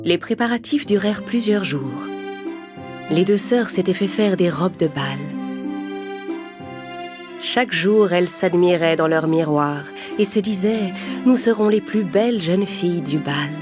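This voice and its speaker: Low-voiced woman storyteller over music. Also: a little slow. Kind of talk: scripted bedtime fairy-tale narration for children.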